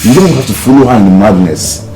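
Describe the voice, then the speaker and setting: An actor with a Nigerian accent playing an exasperated, pleading peacemaker in a household quarrel, in a melodramatic film with music underneath. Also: male voice, medium pitch, animated, fast talker, heavy background noise, lightly acted. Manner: pleading, repetitive, urgent. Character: exasperated man trying to calm a household quarrel.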